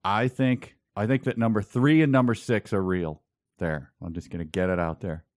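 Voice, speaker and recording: A man's voice: fairly low pitch, fairly even intonation, normal speed, darkish tone, no room sound, clean studio sound. A man co-hosting a podcast, chatting and joking casually about a book. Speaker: podcast co-host.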